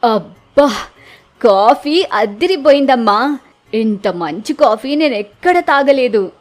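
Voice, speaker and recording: A woman voicing a bossy, exasperated older mother-in-law in a village moral story, loud and quick to scold. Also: on the high side, expressive, faint music behind, pitched below the performer's own voice. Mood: delighted.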